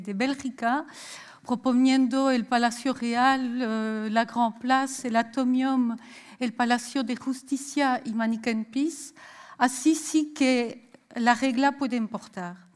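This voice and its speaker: A Belgian woman with a French accent giving a popular science talk in a measured, hesitant way. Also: a little high. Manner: measured lecturing with frequent hesitations. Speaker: science speaker. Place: stage of a lecture hall.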